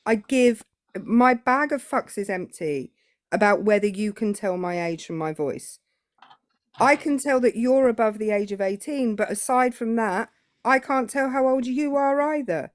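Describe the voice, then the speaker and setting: A woman's voice: on the high side, a little slow, dry recording, studio quality. A British woman arguing politics in a live debate, cutting and sarcastic, often cutting in. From Britain.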